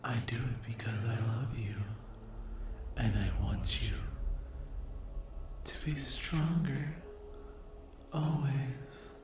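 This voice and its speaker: A performer voicing a possessive, teasing, mean demon lover in an intimate, breathy whispered ASMR roleplay. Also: medium pitch, steady tone, takes it slowly, long pauses, background noise, close to an everyday voice. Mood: possessive, tender.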